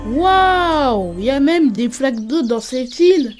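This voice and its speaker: Female performer as little girl, high voice, inquisitive, full of wonder, children's cartoon. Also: lively intonation, unhurried, soft background music.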